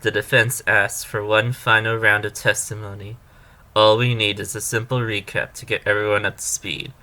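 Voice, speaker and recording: An actor playing an assertive, courteous defense partner in a courtroom audio drama, firm and formal. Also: male voice, lowish voice, flat delivery, normal speed, pushed and bright. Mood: confident.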